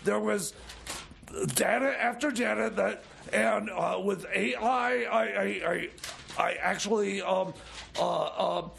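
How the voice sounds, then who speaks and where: A man's voice: high-pitched, a bit bright, slight background noise, heavily acted. A man playing a paranoid, indignant conspiracy-chasing radio sidekick, stammering and repeating himself in improvised comedy. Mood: agitated, insistent. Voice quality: gravelly. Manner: stammering, halting, repetitive, flustered. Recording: music playing under the voice.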